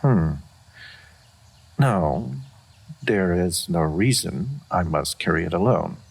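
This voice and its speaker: Man voicing a very deep, gravelly, aloof dragon. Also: animated, heavily acted. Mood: reserved, pensive. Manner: formal, measured, deliberate, reserved. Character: aloof, solitary, dignified old male dragon of great power. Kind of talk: scripted fantasy roleplay audio.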